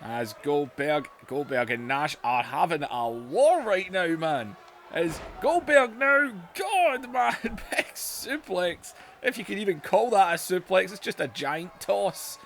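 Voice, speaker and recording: A man commentating a wrestling video game, shouting at big moves as his voice goes hoarse. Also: sits high, lively intonation, takes it slowly, a little hiss or hum. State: voice going hoarse.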